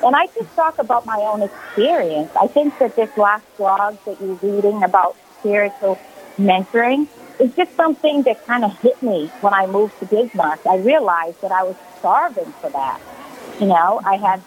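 A woman's voice: mid-range voice, lively intonation, normal speed, slight background noise, rough recording. A woman in her forties from Brazil, a guest on a radio call-in interview, telling her story earnestly with many fillers. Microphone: phone call.

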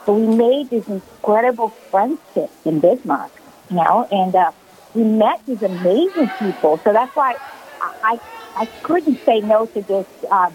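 A woman's voice: medium pitch, animated, measured pace, a little mellow, poor audio. A woman in her forties from Brazil, a guest on a radio call-in interview, telling her story earnestly with many fillers. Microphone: phone call.

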